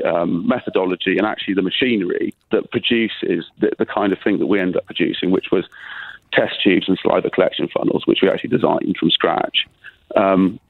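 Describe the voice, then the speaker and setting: A low, gravelly British man on a tinny, dry phone line, talking defensively and insistently. He talks over interruptions and keeps pushing to finish his point, husky and a little rough around the edges. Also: dry recording. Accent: British English. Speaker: caller. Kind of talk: phone-in call defending himself.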